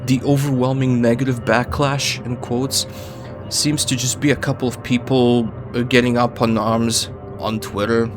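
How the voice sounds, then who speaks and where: A man narrating a casual, opinionated video essay in an informal, wry way with joking asides and some swearing. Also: mid-range voice. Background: background music under the voice-over.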